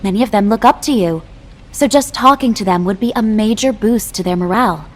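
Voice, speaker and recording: Female actor as considerate military colleague, mid-pitched, reverberant hall, game cutscene. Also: fairly quick, close to an everyday voice. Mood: kindly. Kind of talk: video game cutscene acting.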